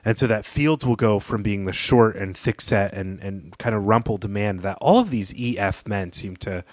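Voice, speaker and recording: A man's voice: on the low side, moderate pace, dry recording, clean studio sound. A man co-hosting a podcast, giving reflective, opinionated analysis of a story. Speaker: podcast co-host. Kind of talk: analytical literary discussion.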